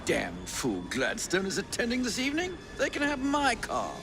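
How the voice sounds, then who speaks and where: A man playing an irascible, grumbling Victorian gentleman in a game cutscene. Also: wildly swooping pitch, moderate pace, over music, full character voice. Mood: grumpy. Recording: rumbling vehicle noise behind.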